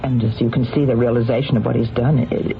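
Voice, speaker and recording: An actress playing a scheming businesswoman who feigns innocence, halting and theatrical, in an old-time radio drama. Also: extremely low pitch, fairly even intonation. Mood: reluctant, distressed. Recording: muffled vintage radio with music behind.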